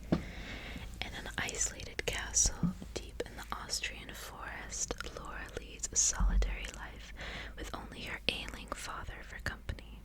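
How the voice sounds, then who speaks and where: A woman's voice: unhurried, poor audio. Female ASMR host, fully whispered delivery, intimate and casual, lingers on repeated words. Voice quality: breathy unvoiced whisper. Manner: repeats words and short phrases as triggers. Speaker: ASMR video creator and reader. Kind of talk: ASMR, intimate casual chat and reading aloud.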